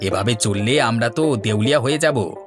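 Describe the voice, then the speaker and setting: A man playing a doubtful but excitable village sidekick in a comic cartoon, with music in the background. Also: medium pitch, normal speed, natural voice. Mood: complaining. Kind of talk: comic Bengali cartoon dialogue. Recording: music behind the voice.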